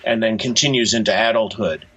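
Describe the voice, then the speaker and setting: Guy getting interviewed, lowish raspy voice, thoughtful w little pauses, muffled lofi audio. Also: steady tone, a bit bright. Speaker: interview guest, cartoonist and author. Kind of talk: reflective interview talk.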